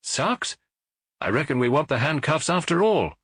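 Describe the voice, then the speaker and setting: Audiobook role: male narrator as a bombastic American fraud prophet, shrill and indignant, pitch leaping about. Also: big pitch swings, moderate pace, a bit bright, breathy edge, dry recording, clean studio sound, full character voice. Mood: furious, accusing. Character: grandiose male American charlatan prophet, blasphemous and furious.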